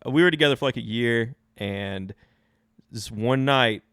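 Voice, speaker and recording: A man's voice: medium pitch, unhurried, a bit bright, clear, resonant tone, dry recording. A man co-hosting a podcast, telling personal stories in casual chat and laughing often as he talks. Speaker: podcast co-host.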